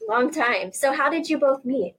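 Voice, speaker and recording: A woman's voice: high voice, lively intonation, moderate pace, a bit bright. A woman presenting health information and interviewing guests as the host.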